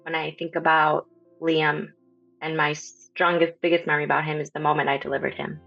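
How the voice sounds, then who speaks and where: A woman in her thirties from Honduras, a podcast guest talking casually with many pauses and ums. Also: sits low, flat delivery, gravelly, fairly bright tone, no echo, faint music behind. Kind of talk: casual interview talk. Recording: slightly dull lo-fi audio.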